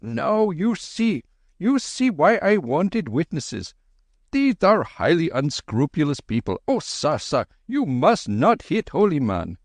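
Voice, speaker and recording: A man voicing an excitable, anxious middle-aged clerk, fretful and pleading. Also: slow pace, dry recording, clean studio sound, exaggerated voice. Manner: fretful, pleading, high-strung.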